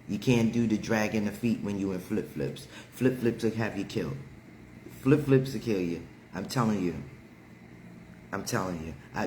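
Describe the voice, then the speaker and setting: A man hosting a live stream, telling everyday stories in a casual, animated way with frequent laughter. He has a deep, heavy voice. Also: echoey room, slight background noise. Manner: animated, laughs often, talks straight to viewers. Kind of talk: casual storytelling chat.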